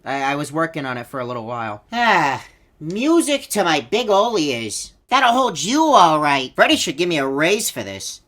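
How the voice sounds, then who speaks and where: A woman voices a laid-back animatronic bunny in a video game. The voice sits low and moves up and down freely, delivering casual quips like a working stiff. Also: sing-song, moderate pace, a bit bright, exaggerated voice. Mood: casual, self-conscious. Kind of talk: video game character voice lines.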